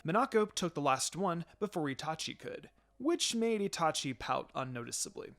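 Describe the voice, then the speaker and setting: A man's voice: on the high side, big pitch swings, moderate pace, vocal fry, fairly bright tone. A man narrating a scripted fan fiction story as a storyteller. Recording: music behind the voice.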